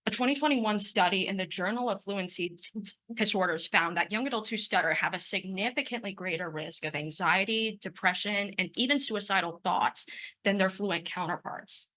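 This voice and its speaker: A young woman in her twenties delivers a prepared personal talk in a bright, forward voice. She speaks with a stutter, so sounds sometimes repeat before she pushes on. Her delivery stays earnest and emphatic. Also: medium pitch, normal speed. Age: 20s. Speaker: conference speaker.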